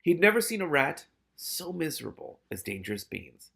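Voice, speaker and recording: A man's voice: on the high side, very animated, normal speed, gravelly, a bit bright, breathy edge. A man narrating a story expressively as a reader and adding his own candid commentary.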